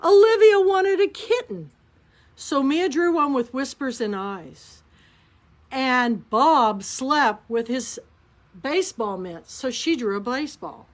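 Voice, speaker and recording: A woman reading a children's picture book aloud at storytime, slowly and with lots of expression. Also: high-pitched. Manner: slow, expressive read-aloud delivery. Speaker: storytime reader.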